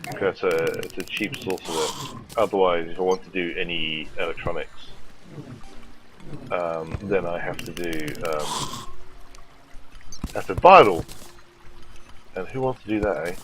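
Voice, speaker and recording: A man's voice: low-pitched, measured pace, long pauses, background noise. A man with a sore throat from a cold, casually commenting on his gameplay as a let's play host and thinking aloud with lots of ums.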